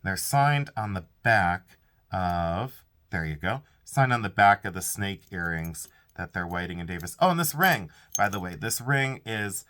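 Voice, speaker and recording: Congested chatty man with lively pitch. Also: measured pace. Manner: chatty, rambling, self-interrupting, frequent laughs. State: congested, stuffed up. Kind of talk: casual haul video.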